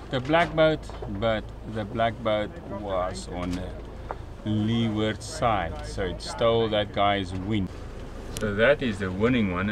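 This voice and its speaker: A man hosting a sailing vlog, casually commenting live on a regatta from the deck of a boat. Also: medium pitch. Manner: excited, commenting on a race as it happens. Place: outdoors on a sailing catamaran at sea. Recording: windy outdoor noise. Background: wind and water noise.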